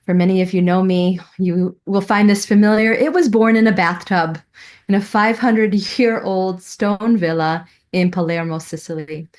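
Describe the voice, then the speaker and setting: A middle-aged woman giving a prepared personal presentation, telling her story in a reverent, deliberate way. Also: medium pitch, a little mellow. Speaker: student presenting a thesis.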